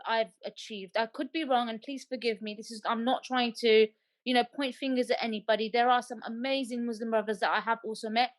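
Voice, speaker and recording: A woman on a discussion panel talks from her own experience. Her voice sits high and she speaks with emphasis, repeating key phrases to drive them home. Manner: emphatic, heartfelt, repeats for stress. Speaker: panel guest. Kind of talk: personal testimony in a panel discussion.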